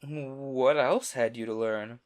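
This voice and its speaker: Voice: medium pitch, slow pace, close to an everyday voice. A reader voicing Alice, a polite, curious young girl, in a storybook reading.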